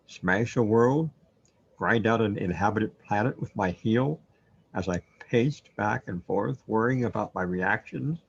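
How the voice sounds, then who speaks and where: Troubled, self-doubting man played by a male actor, brooding and hesitant, husky delivery, muffled recording, surreal radio drama. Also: lowish voice, exaggerated voice. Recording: dull, lo-fi audio.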